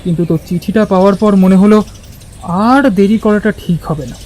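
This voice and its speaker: An actor playing a gentle, earnest young man who speaks carefully in an audio drama. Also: high voice, brisk, slight background noise, lightly acted. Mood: apologetic. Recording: music under the voice.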